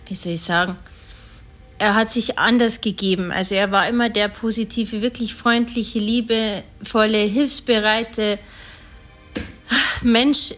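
Higher-voiced woman reminiscing haltingly. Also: a bit bright. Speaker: interviewee. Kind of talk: hesitant spontaneous recollection.

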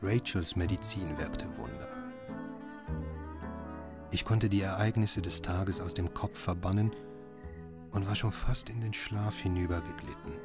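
An actor playing a haunted doctor, a husband living through a nightmare in a radio drama. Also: male voice, sits low, unhurried, pauses often. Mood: grave narrating. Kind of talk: radio drama acting and first-person narration. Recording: loud music under the voice.

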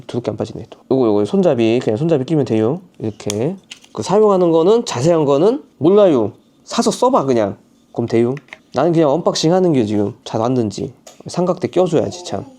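A man's voice: medium pitch, mellow, a little hiss or hum. A man from Chungcheong with a Chungcheong dialect, chatting casually and talking to himself as he unboxes a camera for his vlog. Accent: Chungcheong Korean dialect. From Chungcheong, Korea. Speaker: vlogger.

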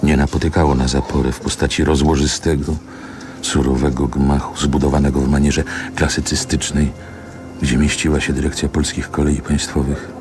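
Very deep-voiced, reflective man reminiscing. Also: little pitch movement, background noise. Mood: nostalgic, contemplative. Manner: measured, deliberate, poetic phrasing with pauses. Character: reflective man recalling his Warsaw childhood, wistful and lyrical. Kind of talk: radio drama monologue, literary narration.

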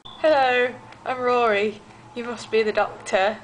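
A woman's voice: fairly high pitch, fairly even intonation, a little slow, a little hiss or hum, natural voice, low-quality recording. A woman voicing a smug, casual young male nurse in an amateur puppet show.

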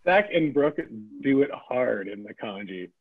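Male narrator for a table read, lively husky delivery, tinny phone-quality sound. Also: medium pitch, measured pace. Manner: amused, laughs between directions. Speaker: table read narrator reading stage directions. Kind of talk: reading a comedy script aloud.

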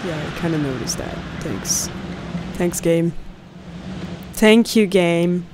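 Slow-paced young woman reacting to a game. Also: fairly low pitch, animated. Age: young adult. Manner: reactive, exclamatory, profanity-laced. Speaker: gaming video host. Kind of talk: casual gameplay reaction commentary. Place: indoors at a home recording setup. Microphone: close studio condenser mic. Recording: clear game ambience noise behind voice. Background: horror game ambience.

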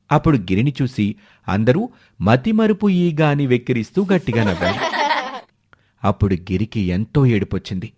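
A man's voice: lowish voice, a little mellow. A man narrating a scripted moral story for children as a storyteller.

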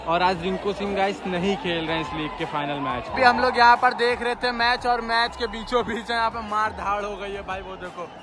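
A young man filming a live vlog from the stands of a packed outdoor cricket stadium at night. He holds his phone and talks excitedly to his viewers, calling them guys and brother. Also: sits high, brisk, hardly any pauses. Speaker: vlogger. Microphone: phone camera held in hand. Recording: phone audio swamped by stadium crowd and music. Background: loud roaring crowd and blaring music.

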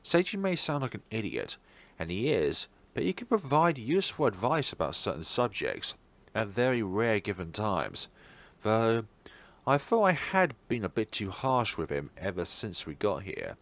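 A man's voice: fairly low pitch, sing-song, moderate pace, fairly bright tone. A man reading a visual novel's narration aloud as a let's player. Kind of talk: narration read aloud for a game playthrough.

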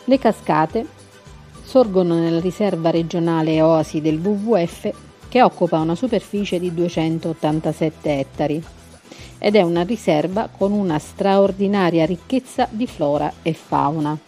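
A woman's voice: lowish voice, normal speed, dark tone. A woman from Italy hosting a TV segment, reading a scripted travel feature. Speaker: TV segment host and narrator. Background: music bed.

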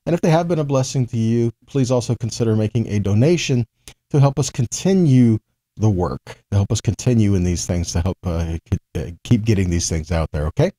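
A man's voice: on the low side, animated, fairly quick, warm tone, no room sound. A middle-aged man teaching the Bible informally to camera, conversational and folksy, often checking in with his listeners. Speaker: Bible teacher and ministry host.

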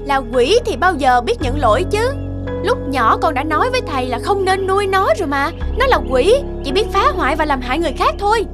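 A woman voicing a haughty, spiteful young sea goddess in an animated fairy tale, with a scornful, mocking manner. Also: very high voice, brisk, over music. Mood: accusing.

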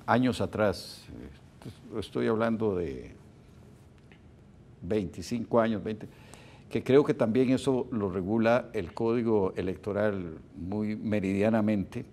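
A Costa Rican man in his sixties hosting a formal television interview, putting his questions deliberately, phrase by phrase. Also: lowish voice, darkish tone. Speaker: interviewer, host. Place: television studio.

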